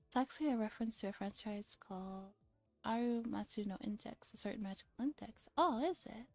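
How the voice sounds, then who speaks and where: Mellow soft-spoken woman streamer, higher voice. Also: fairly quick, dry recording, faint music behind. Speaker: streamer reading a visual novel aloud. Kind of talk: soft-spoken ASMR game reading.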